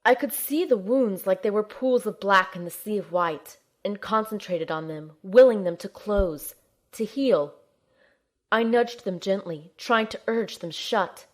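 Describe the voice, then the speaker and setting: Young heroine played by a woman narrating audio drama, earnest and determined, medium pitch, measured storytelling. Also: close to an everyday voice. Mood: focused, earnest. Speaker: narrator and lead character. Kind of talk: scripted first-person audio drama narration.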